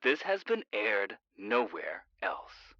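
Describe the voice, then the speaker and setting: A man's voice: fairly low pitch, wildly swooping pitch, slow pace, pushed and bright, no room sound, lo-fi sound, exaggerated voice. An actor playing a late-night radio talk show host who hypes his audience in a showy way.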